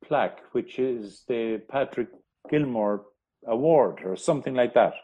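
A man, a historian, telling history in an interview, pausing often with ums and restarting his sentences. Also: mid-range voice, narrow, tinny audio. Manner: hesitant, many ums and restarts. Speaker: historian guest.

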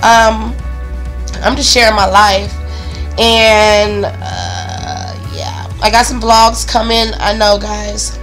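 A woman in her thirties with a raspy voice from the flu, chatting casually to her phone camera at home, with lots of ums. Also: mid-range voice, expressive, slow, a bit bright, faint music behind. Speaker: vlogger, stay-at-home mom. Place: home bedroom, indoors. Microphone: phone camera held close.

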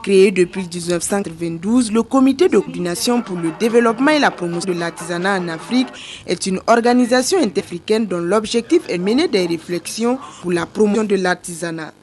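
A woman reading a scripted TV report in a formal, even way. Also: mid-range voice, fairly quick.

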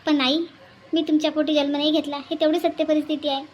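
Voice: sits high, level tone, fast talker, lightly acted. A performer voicing an earnest, tender city woman in a cartoon melodrama, speaking politely, pleadingly and sentimentally. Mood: tearful gratitude. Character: earnest, tender orphanage woman from Mumbai, bidding farewell.

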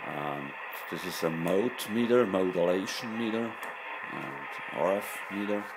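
A man informally demonstrating equipment in short, halting phrases. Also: sits low, expressive. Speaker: radio collector and presenter. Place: indoors at a desk. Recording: noisy room with radio static.

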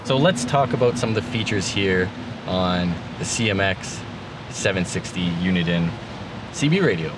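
Low-voiced man in his thirties, casual walkthrough. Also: animated, moderate pace. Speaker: vlogger demonstrating vehicle gear. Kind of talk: casual product walkthrough to camera. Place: inside a parked jeep with open door, outdoors. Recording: clear background noise, vehicle ambience.

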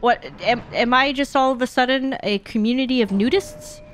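A woman's voice: high-pitched, moderate pace, slight background noise. A woman streamer giving casual, playful live gameplay commentary, chatting along and answering her viewers. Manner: chatty, playful, reading and answering chat aloud.

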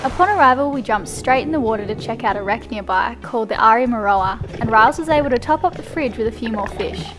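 A woman's voice: fairly high pitch, expressive, fast talker. A woman, casually chatting and narrating a vlog. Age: 20s. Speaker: vlogger, host. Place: on a sailboat and at the beach. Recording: music behind the voice.

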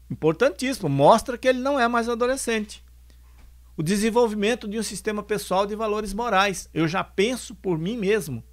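A man in his sixties giving a calm spoken lecture on family, explaining points and repeating them, into a close microphone in a home studio. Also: sits high. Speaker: spiritist lecturer and singer. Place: home studio, bookshelves behind. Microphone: orange foam mic on stand, close.

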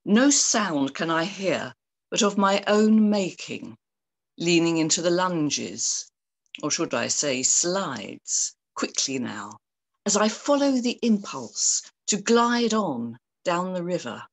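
A woman gives a poetry reading in a slightly low voice. She phrases deliberately and slowly, with lots of melodic rise and fall. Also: big pitch swings, vocal fry. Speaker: poet.

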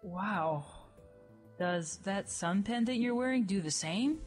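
A woman's voice: mid-range voice, animated, slow pace, a little mellow, faint music behind. A woman voicing a sarcastic, brash young dead woman in a fan radio play, snappy and exclaiming. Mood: snarky.

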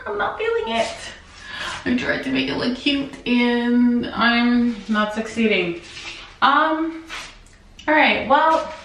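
A woman's voice: on the high side, fairly bright tone, far from the mic, a little hiss or hum. A young woman vlogging and showing a clothing haul, chatting casually with lots of "like" fillers. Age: young adult. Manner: chatty, frequent "like" fillers, candid. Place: home bathroom with tiled shower. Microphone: camera on a tripod a few feet away. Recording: echoey bathroom, mic set back.

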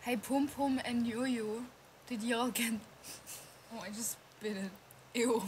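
A young woman, a native German speaker, tells stories in English on a casual livestream, breaking into laughter as she goes. Her voice sits a little high. A steady hiss of background noise runs under the home recording. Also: takes it slowly. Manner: giggly, laughs through stories. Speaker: livestream co-host.

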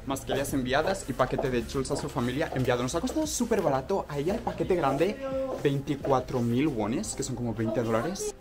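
A man in his twenties with a Spain Spanish accent, chatting casually as a vlogger. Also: medium pitch. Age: 20s. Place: busy restaurants, shops and a home kitchen. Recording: noisy handheld vlog recording.

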